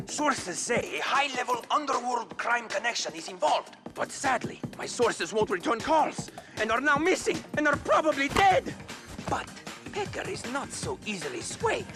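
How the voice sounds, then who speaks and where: A man voicing a brash, self-important talking bird commentator in a video game, boastful and dramatic. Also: extremely high pitch. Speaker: color commentator. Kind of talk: cartoonish video game acting. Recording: music behind the voice.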